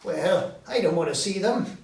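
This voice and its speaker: A frightened old miser from a storybook, performed by a man, pitched high and agitated. He sounds trembling, pleading and excitable. The room echoes and the mic is a little way off. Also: moderate pace. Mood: fretful. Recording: roomy echo, distant mic, background noise.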